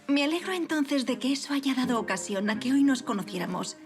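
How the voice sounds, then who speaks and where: A wry aristocratic woman in a French Revolution audio drama. Her voice is rather high, her delivery refined and courteous, with faint music underneath. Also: lively intonation, fairly quick. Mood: polite curiosity. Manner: courteous, refined, formal archaic phrasing. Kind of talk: period audio drama acting.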